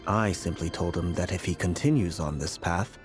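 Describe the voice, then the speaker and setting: Man acting a deep, weary, solemn prophet. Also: lightly acted. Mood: resigned, solemn. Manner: grave, deliberate, eloquent. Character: weary, blinded male prophet, solemn and fatalistic. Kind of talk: video game voice acting. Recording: game dialogue with music behind.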